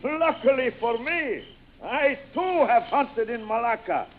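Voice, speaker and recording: An actor playing a suave, gloating aristocratic general who hunts men for sport, theatrical and mock-courteous, in vintage radio style. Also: male voice, very high-pitched, animated, a little slow, full character voice. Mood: taunting, triumphant. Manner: theatrical, mock-courteous, savouring his words. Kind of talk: 1940s radio acting. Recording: old radio broadcast, tinny and noisy.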